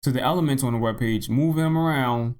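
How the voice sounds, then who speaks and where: A man narrating a software tutorial in a casual, unscripted way, thinking aloud and repeating himself, his voice slightly hoarse. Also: medium pitch, brisk. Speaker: tutorial host.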